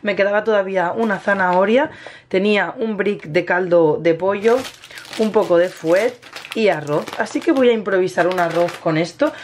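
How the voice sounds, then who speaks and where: A woman in her thirties with a Spain Spanish accent, slightly hoarse from a cold, casually narrating a recipe as she cooks in her home kitchen. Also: medium pitch, normal speed, darkish tone. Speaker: home cooking vlogger. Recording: noisy kitchen with sizzling pan. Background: frying and stirring sounds.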